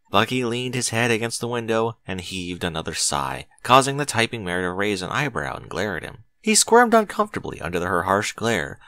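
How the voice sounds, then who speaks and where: A man narrates fiction with a very lively, swooping delivery at an average pitch. His voice is bright and forceful, recorded dry and close, and he phrases each line theatrically with clear emphasis. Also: dry recording. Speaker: audiobook narrator. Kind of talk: dramatic scripted story narration.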